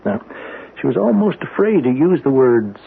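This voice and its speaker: An actor playing a skeptical, matter-of-fact doctor who explains in a measured, slightly hesitant way in a 1970s radio drama. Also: male voice, mid-range voice, very animated, gravelly, breathy edge, heavily acted. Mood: explanatory. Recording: tinny, faintly noisy 1970s radio broadcast.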